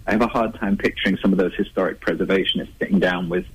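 A man's voice: deep voice, fairly even intonation, fast, husky, poor audio. A man, an author, answering interview questions in a thoughtful, conversational way with frequent restarts. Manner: thoughtful, restarts, laughs lightly. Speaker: author guest.

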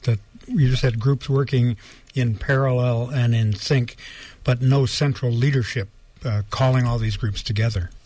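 A man hosting a radio talk show, calmly summarizing and asking questions. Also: mid-range voice, normal speed, no room sound.

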